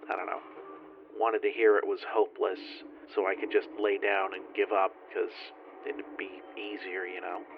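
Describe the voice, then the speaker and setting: A man playing a weary, bitter lone survivor, a spaceship flight engineer recording a log in an audio drama, brooding, halting and profane. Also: on the low side, gravelly. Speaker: solo roleplaying player-narrator. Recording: dull, noisy radio-log sound.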